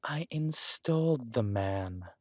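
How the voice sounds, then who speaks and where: A man voices a suave usurper lord in an intimate audio drama, speaking to his spouse. He talks slowly and deliberately at a middling male pitch, dropping into breathy near-whispers. The sound is close and dry. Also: expressive, dry recording. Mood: smug, musing. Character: smooth, scheming villain husband, usurper of a kingdom.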